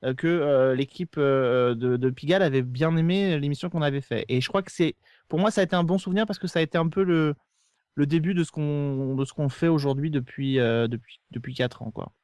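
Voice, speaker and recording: A man's voice: on the high side, fairly quick, darkish tone, smooth, no echo, clean studio sound. A man in casual podcast conversation, speaking in an earnest, opinionated way.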